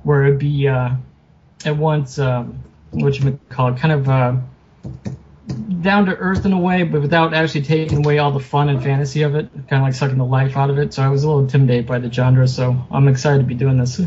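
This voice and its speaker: A man being interviewed as a guest author, chatting casually in a halting, self-deprecating way. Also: mid-range voice, little pitch movement, a little mellow. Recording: muffled lo-fi sound with noticeable background noise.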